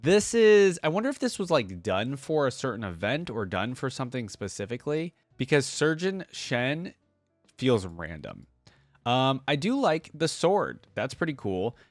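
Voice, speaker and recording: A man's voice: mid-range voice, sing-song, a little slow, no echo, soft background music. A man hosting a casual reaction commentary on game skins, thinking aloud in an informal way. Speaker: YouTube reaction host.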